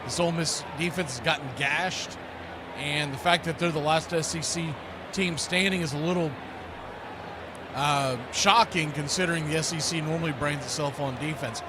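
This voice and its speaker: A man's voice: on the high side, moderate pace, noisy background. An American man hosting a live football watch party, chatting casually and in a folksy way as he previews the game.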